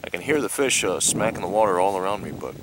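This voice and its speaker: Thirties male outdoor vlogger, laid-back and rambling, low-ish pitch, close camera outdoors with wind noise. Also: fast talker. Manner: relaxed, rambling, frequent "uh" fillers. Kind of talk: casual outdoor vlog. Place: outdoors on a pond in a kayak. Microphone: camera close at arm's length.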